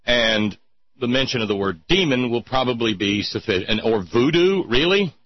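A man's voice: mid-range voice, animated, moderate pace, no room sound, highs cut off. A man hosting an irreverent talk show, reading listener notes aloud with a mocking, sardonic edge.

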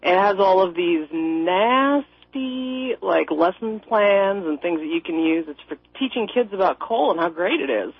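Woman, from Minnesota, radio caller, rambling, sarcastic, husky voice, dry muffled sound. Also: medium pitch, expressive, measured pace, dry recording. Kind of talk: call-in radio chat. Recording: dry, muffled phone-in audio.

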